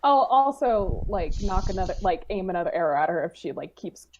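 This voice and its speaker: A woman's voice: fairly low pitch, animated, brisk, a little mellow. A woman playing a tabletop roleplaying game, chatting casually about what her character does. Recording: muffled lo-fi microphone, faint noise.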